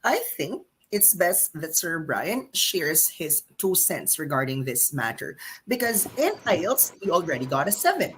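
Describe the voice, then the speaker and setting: A woman's voice: sits low, normal speed, slight background noise, poor audio. A woman hosting a live exam-prep stream, reading viewer comments and answering them in an emphatic, teacherly way. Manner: animated, emphatic teacherly delivery.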